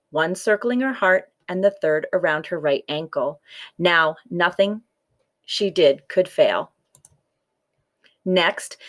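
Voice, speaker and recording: A woman reading a children's folktale aloud as scripted storybook narration. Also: fairly low pitch. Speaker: story reader.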